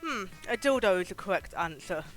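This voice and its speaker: A streamer giving live game commentary and reading game text aloud in an animated, giggly way, with casual swearing. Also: mid-range voice, fairly quick, low-quality recording. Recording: music behind the voice. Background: game music.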